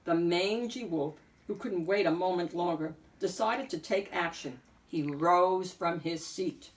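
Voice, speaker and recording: A woman reading a picture book aloud as an expressive narrator, phrasing deliberately with emphatic swells. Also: fairly low pitch, recorded from a distance, poor audio. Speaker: picture book reader and host.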